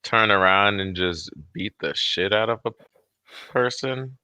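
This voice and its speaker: Male co-host, crisp clear tone, very dry recording; casual, profane, loose phrasing. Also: on the low side, measured pace, bright, forceful tone, dry recording. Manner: laid-back phrasing, heavy swearing. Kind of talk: casual chat.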